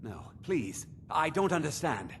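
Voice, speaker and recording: An actor playing a fussy meadery owner in a fantasy video game, with an obsequious, fretful manner. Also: male voice, fairly high pitch, sing-song, a little slow, gravelly, a bit bright, a little hiss or hum, full character voice. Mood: panicked, pleading. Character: fussy male meadery owner, servile to his betters.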